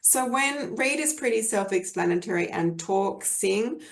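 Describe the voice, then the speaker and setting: Woman, australian accent, webinar presenter, gravelly creaky voice, friendly explaining, video call mic. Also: medium pitch, lively intonation, a little slow. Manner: friendly, explanatory, with frequent fillers and restarts. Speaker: early literacy presenter.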